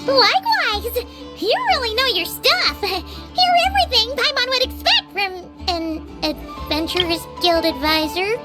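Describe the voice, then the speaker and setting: A woman voicing a tiny, cute, childlike flying fairy companion in an anime-style video game, excitable and dramatic, with music behind her. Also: unhurried, heavily acted. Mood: impressed. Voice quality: squeaky, childlike. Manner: childlike, dramatic, refers to herself by name.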